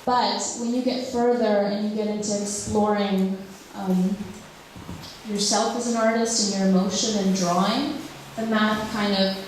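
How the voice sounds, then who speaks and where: A woman's voice: mid-range voice, fairly even intonation, measured pace, slight background noise. A woman from Ukraine, a drawing teacher answering audience questions at a public talk, speaking hesitantly with many fillers and restarts. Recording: echoey hall, speaker far from mic.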